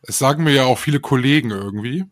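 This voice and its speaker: A man's voice: mid-range voice, quick pace. A man co-hosting a podcast, a former radio presenter chatting casually with dry, understated humor. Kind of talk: casual chat.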